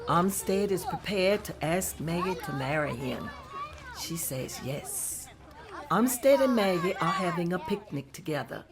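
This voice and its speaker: A woman narrating the scene directions at a table read in a plain, steady manner. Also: lowish voice, a little slow, noisy background. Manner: plain, steady descriptive reading. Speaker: narrator reading stage directions.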